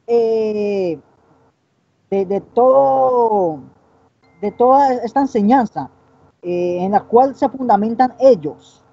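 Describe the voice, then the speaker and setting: A man in his twenties leads an informal theological discussion as a Bible teacher, halting and often seeking agreement, on a muffled stream. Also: sits high, creaky, dark tone, dry recording. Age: 20s. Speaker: Bible teacher co-hosting a broadcast.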